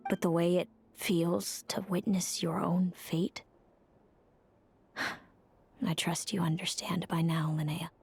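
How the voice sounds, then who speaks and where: A woman's voice: fairly low pitch, little pitch movement, slow, creaky, long pauses, a little mellow, husky, faint music behind, exaggerated voice. A woman playing a guarded, world-weary fae seer in a video game, cool, dry and ominous. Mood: grave, weary.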